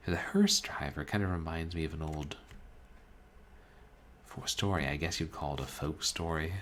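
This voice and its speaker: Very deep, calm-voiced male streamer. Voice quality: calming voice. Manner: musing, soft-spoken, halting. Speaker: streamer reading books aloud. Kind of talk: casual chat with stream chat.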